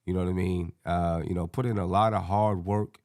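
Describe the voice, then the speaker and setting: A man with a hoarse, scratchy voice hosting a casual sports fan panel in slangy, colloquial talk. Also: sits low, steady tone, a little fast.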